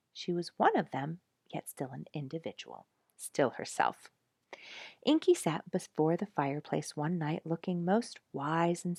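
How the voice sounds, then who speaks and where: Expressive, lower-pitched woman storyteller. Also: gravelly, no room sound, clean studio sound. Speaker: storyteller reading a book aloud. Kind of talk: expressive scripted storybook narration.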